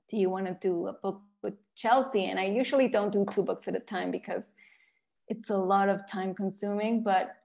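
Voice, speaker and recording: A Mexican woman speaks as an interviewed author and illustrator, with a Mexican accent from her native Spanish. Her mid-pitched voice has noticeable creak, and her answers are conversational. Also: a little mellow.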